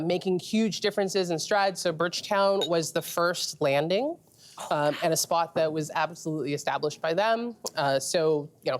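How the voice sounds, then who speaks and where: A woman from Trinidad with a Trinidadian accent, talking casually as a guest about the beers being tasted. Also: fairly low pitch. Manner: explanatory, relaxed, with fillers. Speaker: beer expert guest. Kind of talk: casual tasting chat.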